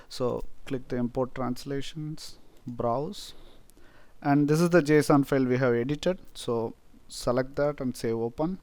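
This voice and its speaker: A man from India, a Tamil native speaker with an Indian accent, explaining a software feature step by step with many "uh" fillers. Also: medium pitch, no echo. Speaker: software tutorial presenter. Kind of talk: screen-recorded software tutorial.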